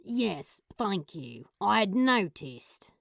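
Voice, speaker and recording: A woman's voice: medium pitch, sing-song, takes it slowly, gravelly, a bit bright, no echo, studio quality, full character voice. A woman voicing a sardonic, exasperated male demon in an audio story, grumbling as he talks. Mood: sardonic, glum. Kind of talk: audio fanfiction character acting.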